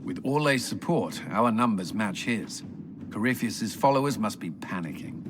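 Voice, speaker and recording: A man plays a dutiful fantasy army commander with a fairly low voice. He speaks in a measured, formal and clipped military way, earnest and serious about his duty. The sound has long reverb and noisy ambience, as in a video game. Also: expressive, natural voice. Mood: grave, focused. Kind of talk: dramatic video game voice acting.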